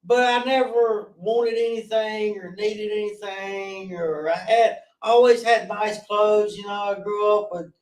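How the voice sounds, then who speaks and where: Loud 40-year-old man telling his story. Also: high voice, steady tone, measured pace, hardly any pauses, distant mic. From North Carolina. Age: 40s. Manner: earnest, rambling with restarts. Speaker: podcast guest. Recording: roomy, off-mic sound.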